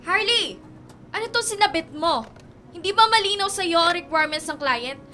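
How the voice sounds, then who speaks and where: A woman's voice: very high-pitched, expressive, normal speed, sharp tone, exaggerated voice. A woman acting as a harsh, scolding office boss with a Filipino accent in a radio drama. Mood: angry, accusing. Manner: scolding, demanding. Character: harsh, accusing female office boss berating staff.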